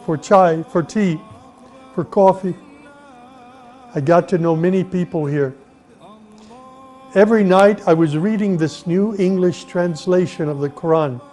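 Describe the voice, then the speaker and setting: A middle-aged man from the United States, earnestly telling his own life story at an unhurried pace, with pauses and restarts. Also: fairly high pitch. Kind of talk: personal testimony, reflective storytelling. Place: Saudi Arabia. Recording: soft music behind the voice.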